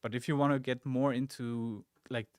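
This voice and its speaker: A young man from Germany, speaking German as his first language, hosts a casual livestream and answers viewer comments in a chatty, loose way full of fillers. His voice sits at a middle pitch and sounds close and dry, with no room sound. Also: normal speed, clear, resonant tone, no echo. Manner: chatty and relaxed, with many fillers and asides. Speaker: social media community manager and stream host. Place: game studio office.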